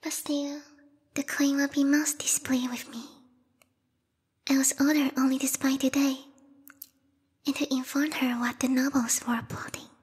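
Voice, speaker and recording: A woman voicing a bloodthirsty, refined bat girl royal guard in a whispered ASMR roleplay, prim and courtly with quiet menace. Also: high voice, flat delivery, unhurried, pauses often, in a large hall. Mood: gloating, conspiratorial. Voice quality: breathy, whispery. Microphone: close binaural microphone.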